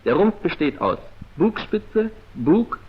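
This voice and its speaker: A male narrator from a 1940s training film, heard through a dull, muffled vintage soundtrack. His pitch sits fairly high and moves in lively swings, with a husky edge. He speaks in a formal, precise and clipped declamatory manner. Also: takes it slowly.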